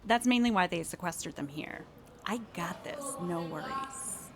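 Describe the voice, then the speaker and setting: An actress playing a friendly, apologetic camp chaperone in an audio drama, casual and placating. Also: female voice, on the low side, lively intonation, moderate pace, crowd noise. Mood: casual, reassuring.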